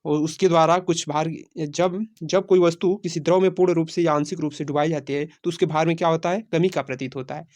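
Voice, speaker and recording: Higher-pitched male teacher. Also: fairly even intonation, a little fast, few pauses, a little mellow. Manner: repeats phrases, asks and answers own questions. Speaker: teacher of exam-prep science class. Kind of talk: lesson, quiz questions read out and explained.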